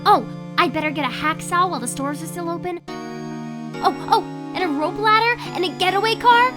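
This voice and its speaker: A woman's voice: extremely high pitch, normal speed. A woman playing a plucky, impulsive, guileless teenage girl in a video game dub. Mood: eager, determined. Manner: eager, indignant, guileless. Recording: clear music behind the voice.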